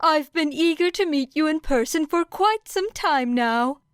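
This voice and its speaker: Woman voicing a high, chipper little animal principal. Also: expressive, unhurried, no room sound, clean studio sound. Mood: bright, polite. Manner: bright, pleasantly formal. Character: small cheerful, clever animal school principal, male. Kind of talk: audiobook character voice.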